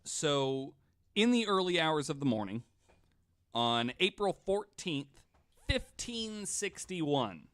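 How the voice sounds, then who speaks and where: A man's voice: fairly high pitch, very animated, slow pace, fairly bright tone. A man hosting a podcast in casual storytelling style, irreverent and sweary, often stammering and repeating words. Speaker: podcast host. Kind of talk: casual storytelling chat, sometimes reading quotes aloud.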